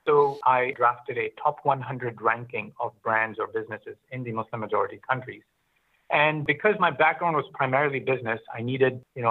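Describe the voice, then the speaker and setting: A middle-aged man from Pakistan who grew up in Oman, telling his story as an interview guest in a reflective, halting way. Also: mid-range voice, phone-quality sound. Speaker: interview guest, business founder. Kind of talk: conversational personal storytelling.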